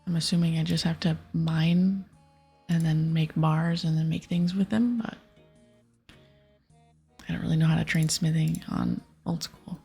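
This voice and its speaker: A woman speaks in a very breathy half-whisper with some creak, hosting a gaming ASMR video. Her voice sits a little low and she is soothing and unhurried, with faint music behind her. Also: steady tone, mellow. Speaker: host of a gaming video series. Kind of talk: ASMR game playthrough, softly spoken and whispered.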